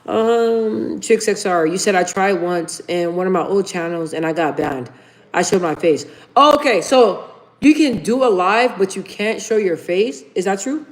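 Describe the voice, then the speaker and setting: A woman's voice: medium pitch, animated, unhurried, poor audio. A woman in her thirties hosting a casual livestream, chatting informally with viewers and reading their comments aloud. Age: 30s. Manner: chatty and informal, addresses viewers as "you guys". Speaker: livestream host.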